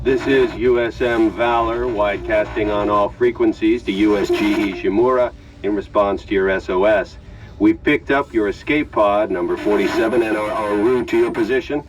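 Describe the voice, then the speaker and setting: An actor playing a formal, steady military officer giving a measured, official radio transmission in a video game. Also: male voice, lowish voice. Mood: calm, official. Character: formal, steady male military officer broadcasting a rescue message. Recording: distant noisy radio broadcast.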